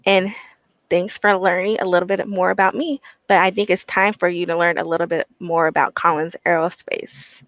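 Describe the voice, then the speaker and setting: A woman's voice: on the low side, fairly even intonation, moderate pace, a bit bright, no echo. Upbeat young woman, dull dry recording. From Texas. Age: young adult. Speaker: systems engineer, guest speaker. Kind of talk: prepared talk to teens.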